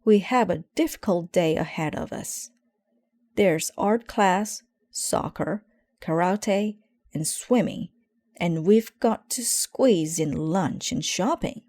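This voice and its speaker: Woman as a brisk, harried mother bear. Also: fairly low pitch, a little mellow, no room sound, clean studio sound.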